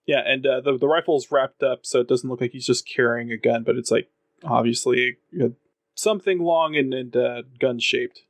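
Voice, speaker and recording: Man, mid-pitched voice, hesitant casual delivery, tabletop player, dry recording. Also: moderate pace, no room sound. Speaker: role-playing game player. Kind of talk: casual tabletop role-play chat.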